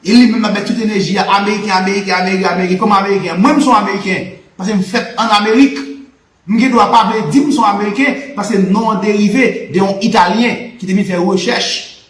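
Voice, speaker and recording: A man's voice: sits high, steady tone, fast talker, fairly bright tone, roomy sound. A Haitian man with a Haitian Creole accent, hosting a live political and history talk with a fervent, repetitive, preaching cadence. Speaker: channel host.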